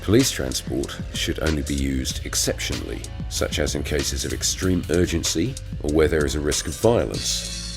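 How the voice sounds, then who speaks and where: Very deep gravelly male narrator. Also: animated, music in the background. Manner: measured, formal. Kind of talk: scripted training narration.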